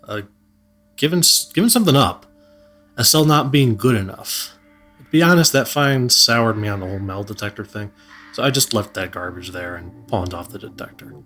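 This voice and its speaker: Man playing a halting, unsettled storyteller. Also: medium pitch, fairly bright tone, natural voice. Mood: uneasy, reluctant. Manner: halting, conversational. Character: unsettled man recounting a disturbing find. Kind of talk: first-person horror story narration, conversational. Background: music.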